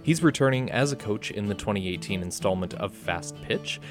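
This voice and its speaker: A man's voice: lowish voice, lively intonation, a little fast, over music. A man co-hosting a radio show, upbeat and chatty, with lots of filler uhs. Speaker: radio co-host. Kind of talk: casual radio chat. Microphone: studio microphone.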